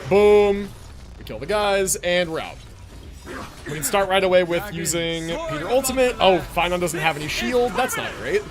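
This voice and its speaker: A man's voice: sits high, expressive, normal speed, crowd noise. A man doing casual live game commentary, thinking aloud in slangy, sweary language. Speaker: game livestreamer. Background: game music.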